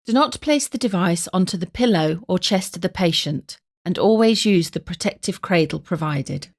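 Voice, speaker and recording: A woman's voice: fairly low pitch, animated, moderate pace, a little mellow. A woman reading scripted narration for a medical training film in a formal, instructive manner. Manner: clear-cut, formal, instructive.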